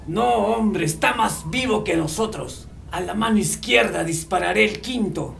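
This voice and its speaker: A man playing a cold, cruel older gunman in a radio drama, speaking deliberately and boastfully. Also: sits high, creaky, recorded from a distance, noisy background, full character voice. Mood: cold, menacing.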